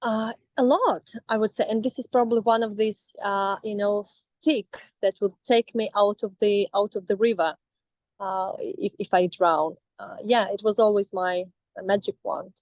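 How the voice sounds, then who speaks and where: A woman's voice: medium pitch, fairly even intonation, moderate pace, darkish tone, no room sound, low-quality recording. A young woman with a Russian accent, talking over a video call as a coaching client, hesitant with many fillers and restarts. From Astrakhan, Russia. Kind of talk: casual reflective talk.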